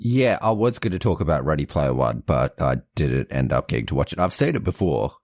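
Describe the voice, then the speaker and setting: Deep-voiced joking man co-hosting a podcast. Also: sing-song, brisk, no room sound, studio quality. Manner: joking, opinionated, laughs often. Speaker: podcast co-host. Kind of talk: casual chat.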